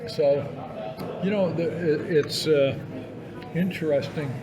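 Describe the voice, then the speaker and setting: An older man co-hosting a casual podcast interview, talking in hesitant, halting phrases. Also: on the high side, crowd noise. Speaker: podcast co-host.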